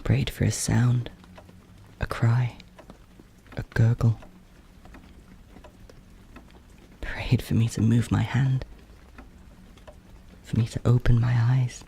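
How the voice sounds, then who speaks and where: An actor voicing a bitter, yearning ghost in a horror audio drama monologue, brooding and breathy, often close to a whisper. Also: medium pitch, flat delivery, mellow, a little hiss or hum. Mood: haunted, longing. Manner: slow, halting, brooding. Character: bitter, yearning ghost of a stillborn adult sibling.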